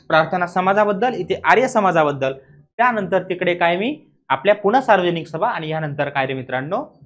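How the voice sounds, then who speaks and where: A man's voice: high-pitched, lively intonation, brisk, a bit bright. A man teaching an exam coaching lesson, explaining emphatically, repeating key points and often checking understanding with rhetorical questions.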